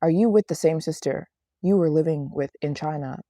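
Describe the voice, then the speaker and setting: Creaky, low-voiced young woman chatting playfully. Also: fairly quick, dark tone, dry recording, clean studio sound. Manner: chatty, playful, repeats phrases for emphasis. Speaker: travel vlogger hosting a livestream. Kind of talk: casual livestream chat answering viewer questions. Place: small apartment living room in Japan.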